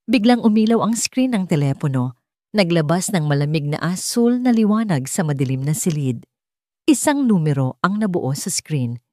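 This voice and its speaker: Woman, Filipino accent, slightly low pitch, dramatic scripted storytelling, audiobook narrator, dry sound. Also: very animated, normal speed, mellow, no room sound.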